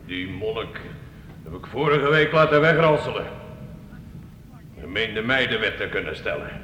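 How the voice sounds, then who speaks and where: A forceful, haughty lord of the Middle Ages in a radio drama. He is curt and threatening, in a fairly low voice, ringing through an echoey hall. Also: lively intonation, moderate pace. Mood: suspicious, gruff. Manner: curt, commanding. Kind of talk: radio play acting. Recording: echoey hall, noisy old radio recording.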